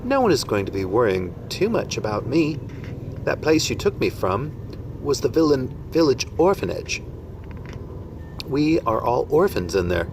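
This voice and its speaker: A man's voice: mid-range voice, unhurried, voice pushed up. A man doing a frank little orphan girl. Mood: matter-of-fact. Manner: plain, matter-of-fact answers. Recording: steady background noise.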